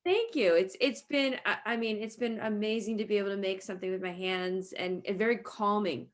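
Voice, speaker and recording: A woman's voice: mid-range voice, a little fast. A woman talking casually in a friendly group chat, as the one leading the discussion.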